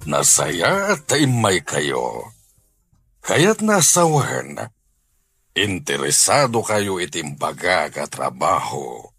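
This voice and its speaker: A man acting a generous, wealthy householder in a radio drama, measured and authoritative, with an Ilocano accent. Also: sits low, big pitch swings, vocal fry, fairly bright tone, breathy edge, faint music behind, full character voice. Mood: welcoming. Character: generous, welcoming wealthy householder hiring servants.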